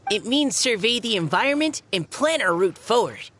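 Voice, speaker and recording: Timid boy scout, very lively pitch. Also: moderate pace, slight background noise. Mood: flustered. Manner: hesitant, stammering, nervous. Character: timid, modest, earnest young boy soldier scout. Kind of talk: anime video game voice acting.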